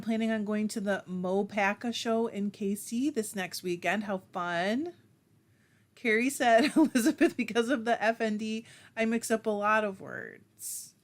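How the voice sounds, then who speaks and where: A woman hosting a casual livestream, reading viewer comments aloud and chatting back in a self-deprecating way, laughing often. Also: medium pitch. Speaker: livestream host.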